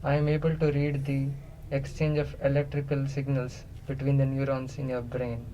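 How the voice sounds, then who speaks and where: A man playing a captive, all-knowing non-human being in a radio play, curious and literal, speaking in halting, deliberate pieces. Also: medium pitch, completely flat delivery, dark tone, highs cut off, a little hiss or hum, lightly acted. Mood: solemn, matter-of-fact. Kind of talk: semi-improvised radio play dialogue.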